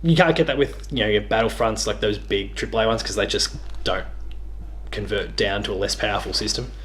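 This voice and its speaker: A man's voice: lowish voice, brisk, fairly bright tone. An Australian man in his thirties hosting a casual chat about video games. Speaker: host. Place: living room, indoors.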